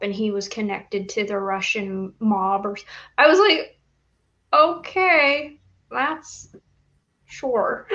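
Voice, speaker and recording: A woman's voice: mid-range voice, pushed and bright, dull audio. Slightly lofi mic, woman chatting books, dry sarcastic n skeptical. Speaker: book club podcast panelist. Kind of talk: casual book discussion.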